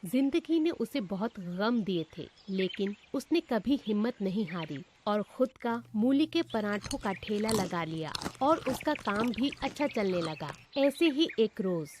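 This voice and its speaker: A woman's voice: medium pitch, lively intonation, unhurried, hardly any pauses, darkish tone, a little hiss or hum. A woman narrator reading a scripted moral story.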